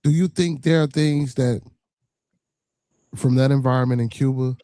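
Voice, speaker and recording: A man hosting a casual interview, working through his questions deliberately and rephrasing them as he goes. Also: mid-range voice, warm tone, no echo. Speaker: host.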